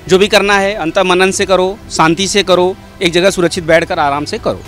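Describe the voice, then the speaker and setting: Indian male member of the public, high voice, insistent and repetitive, faint music underneath. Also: steady tone, moderate pace, a bit bright. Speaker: member of the public giving opinion. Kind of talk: vox pop opinion.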